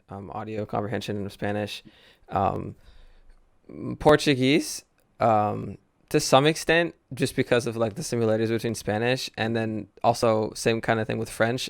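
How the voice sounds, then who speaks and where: A man's voice: lowish voice, creaky, no echo, studio quality. A man hosting a casual podcast interview, easygoing and hesitant, often restarting his sentences. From United States. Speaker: podcast host.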